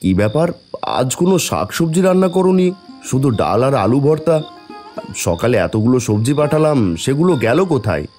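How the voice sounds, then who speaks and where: A man's voice: mid-range voice, sing-song, a little fast, a little mellow, soft background music, lightly acted. A man voicing a poor, mild-mannered village farmer and father in a cartoon fairy tale. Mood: puzzled.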